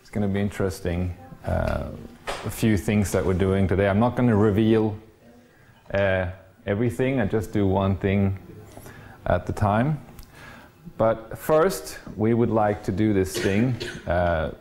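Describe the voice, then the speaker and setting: A man leading a workshop, giving relaxed instructions to a group. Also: on the low side, a little slow, slight background noise. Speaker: workshop leader.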